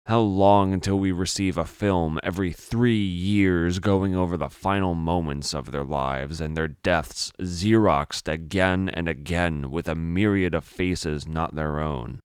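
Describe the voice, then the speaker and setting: A man's voice: low voice, dry recording, clean studio sound. A man narrating a dramatic first-person story in a brooding, theatrical manner. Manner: brooding, theatrical delivery with rhetorical questions.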